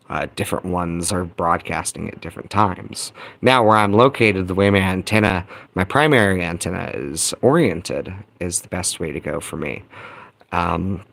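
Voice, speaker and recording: An American man giving a casual, unscripted demonstration as a hobbyist host, halting and thinking aloud while he talks into a phone. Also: lowish voice. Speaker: hobbyist radio video host. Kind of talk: casual rambling hands-on demonstration.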